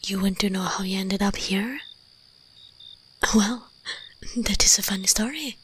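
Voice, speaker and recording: A woman voicing an imperious, teasing vampire queen in a breathy ASMR roleplay whisper, commanding, condescending and seductive. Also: mid-range voice, a little slow, noisy background, natural voice.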